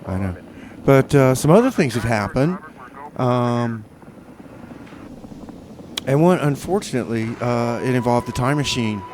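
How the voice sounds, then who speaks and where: A man's voice: mid-range voice, lively intonation, unhurried, a little mellow, a little hiss or hum, poor audio. A man hosting a community radio music show, chatting casually and hesitantly between songs, with many fillers and restarts.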